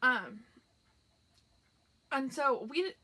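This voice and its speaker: A woman's voice: high-pitched, animated, extremely slow, bright, forceful tone. A young woman hosting a knitting podcast, chatting casually and rambling with lots of fillers, sounding congested and nasal. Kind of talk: casual chat to camera. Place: tiny house, indoors.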